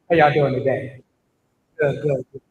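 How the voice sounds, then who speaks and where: A man's voice: a bit bright, muffled recording. An older man welcoming people to his church and leading an earnest prayer, often repeating his words. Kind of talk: welcome address and spoken prayer. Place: church hall. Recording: echoey church hall, faint rumble.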